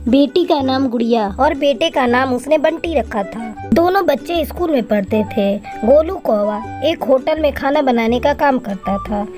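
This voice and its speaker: Very high-voiced woman story narrator. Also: moderate pace, few pauses. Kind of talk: scripted children's story narration. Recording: faint music behind.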